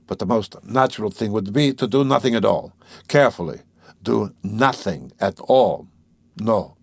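A man's voice: fairly low pitch, animated, normal speed, creaky, breathy edge, no echo, exaggerated voice. A man playing an irritable, scheming young man, sardonic and brooding, in an audiobook of a classic novel. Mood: anxious, brooding. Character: irritable, scheming young former law student, mocking and anxious.

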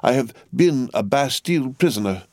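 A man's voice: mid-range voice, lively intonation, moderate pace, darkish tone, no echo, studio quality, pitched above the performer's own voice, natural voice. A man voicing a proud, self-assured old doctor, cool and bold, in a storybook reading. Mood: cool, confident.

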